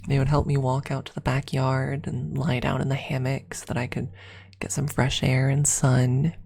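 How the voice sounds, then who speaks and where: A woman telling personal stories as an ASMR streamer, casually chatting in a hushed, unhurried way with frequent pauses. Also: extremely low pitch, little pitch movement, gravelly, slightly rough. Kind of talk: ASMR storytelling, casual chat.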